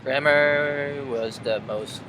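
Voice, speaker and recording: A young man whose first language is Korean gives short, halting answers in an interview. His voice sits at a middle pitch. Loud background noise runs under it. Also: steady tone. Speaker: student interviewee.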